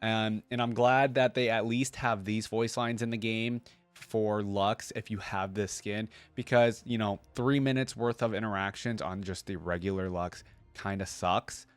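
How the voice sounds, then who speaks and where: A man's voice: on the low side, fairly even intonation, a little slow, clear, resonant tone, dry recording, faint music behind. A man giving casual, rambling, unscripted commentary to his viewers as the host of a video game reaction channel. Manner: rambling, conversational.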